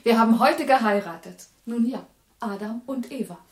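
A woman's voice: fairly high pitch, normal speed, close to an everyday voice. A woman playing a newly married young wife in a radio play, by turns exasperated and soothing. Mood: friendly, amused. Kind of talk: German radio play acting.

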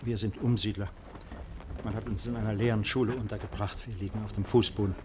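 An actor playing a worried, soft-spoken refugee in a 1950s German radio play, restrained and polite. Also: male voice, fairly low pitch, little pitch movement, quick pace, background noise, lightly acted. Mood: anxious, polite. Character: worried, soft-spoken male refugee visiting his injured brother.

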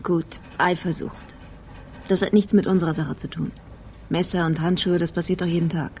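Radio drama support, male actor: jaded police colleague, sardonic and blunt, gravelly dark tone, clipped dry delivery. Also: a little high, flat delivery, normal speed, slight background noise, natural voice. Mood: dismissive, impatient. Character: cynical, world-weary police colleague, impatient and blunt.

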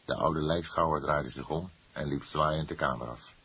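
A man narrating a crime story as an audiobook, reading in a measured, literary manner. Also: very deep voice, bright, forceful tone, slightly rough.

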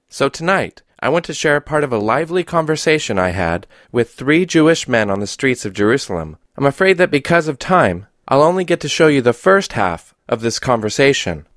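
An American man working as a radio host and interviewer, speaking clearly and deliberately. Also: medium pitch, fairly bright tone, no room sound, studio quality. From United States. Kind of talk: radio intro and street interview.

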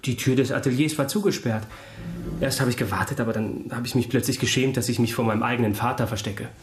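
A man plays an awkward young fellow with a mid-pitched voice. He is naive and evasive, hesitating sheepishly as he speaks, in the style of a radio drama. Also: steady tone, quick pace, music in the background, lightly acted. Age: young adult. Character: awkward, sheepish twenty-year-old lad, naive and evasive. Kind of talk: German radio drama acting.

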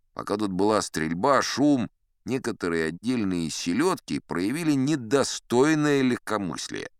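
Deep-voiced, wry old-salt sea captain. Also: male voice, clear, resonant tone, dry recording, clean studio sound. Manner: conversational, wry raconteur with rhetorical asides. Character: seasoned male sea captain telling tall tales, self-assured and wry. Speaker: audiobook performer in character as storyteller. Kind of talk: theatrical first-person audio drama narration.

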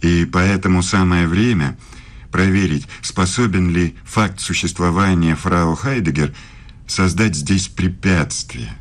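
A very low male voice, a middle-aged professor in a radio play. He courts in formal, measured phrases, intimate and insinuating. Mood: solemn, intimate. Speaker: radio drama actor.

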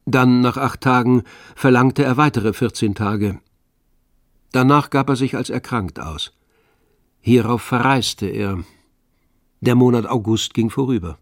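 A man narrating a literary radio drama in a measured, storytelling manner. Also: mid-range voice, dry recording. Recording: dry studio, faint music underneath.

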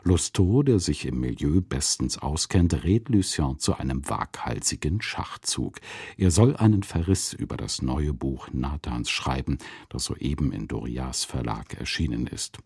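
A man with a very deep, dark voice narrates a radio drama. He is measured and literary, yet his intonation moves a lot, and the sound is dry and close. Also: expressive, gravelly, slightly rough, no echo.

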